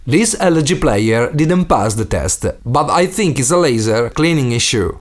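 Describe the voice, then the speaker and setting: A man's voice: medium pitch, expressive, unhurried. A man from Italy with an Italian accent and non-native English phrasing, narrating a scripted DIY tutorial as the host. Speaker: DIY video maker and host.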